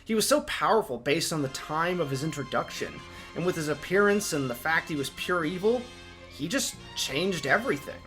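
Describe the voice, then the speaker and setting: A man's voice: fairly high pitch, expressive, moderate pace, fairly bright tone, soft background music. A man hosting a video essay, giving opinionated commentary in an emphatic, enthusiastic fan's delivery.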